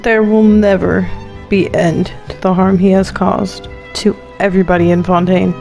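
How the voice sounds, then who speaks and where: A woman's voice: medium pitch, flat delivery, normal speed, creaky, music in the background. A woman streaming a game, reacting casually with slangy, playful and dramatic asides.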